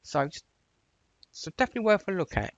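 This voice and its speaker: A man giving a casual, rambling software tutorial in an informal, chatty way as a voice-over. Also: high voice, sing-song, fast, creaky, no echo, muffled recording. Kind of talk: casual screen-share tutorial walkthrough.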